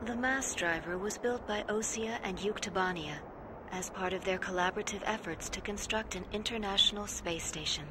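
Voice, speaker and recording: An actress playing a reflective military pilot, narrating a video game war story in a somber, measured way. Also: female voice, mid-range voice, noisy background, lightly acted.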